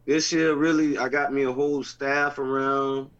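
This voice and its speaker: A man talks excitedly as a football head coach, repeating phrases in loose, informal speech. His voice sits at a middle pitch. The recording sounds dull and lo-fi. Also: normal speed. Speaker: head coach and team owner. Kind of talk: casual chat.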